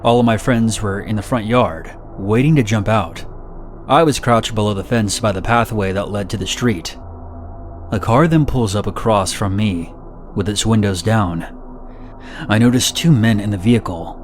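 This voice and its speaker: A man reading first-person horror stories in a steady, measured, somber narration. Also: fairly low pitch, faint music behind.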